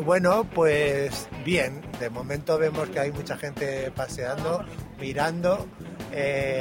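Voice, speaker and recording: Higher-voiced man in a street interview. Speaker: interviewed radio broadcaster at a street stall. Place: outdoors on a busy city promenade.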